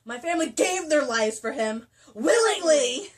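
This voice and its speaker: Woman playing a proud, high-voiced young heroine. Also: animated, a little slow, far from the mic. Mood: passionate, defiant. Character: proud young Highland heroine, defiant and passionate.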